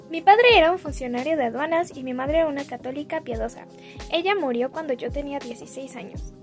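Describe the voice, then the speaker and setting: A woman with a high-pitched voice reads a scripted first-person life story. She sounds like a student giving a presentation, with music playing behind her. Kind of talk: scripted first-person biographical narration, read aloud.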